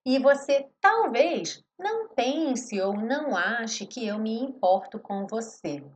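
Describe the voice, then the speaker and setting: A woman teaching an English lesson in a live stream, explaining song lyrics and slowing down to read English phrases with emphasis. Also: fairly high pitch, sing-song. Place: home studio, live stream.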